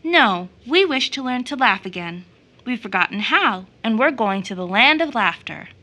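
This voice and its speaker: A woman's voice: on the high side, animated, moderate pace, bright, forceful tone. A woman storyteller voicing solemn, polite little boys in a fairy tale, grave and earnest.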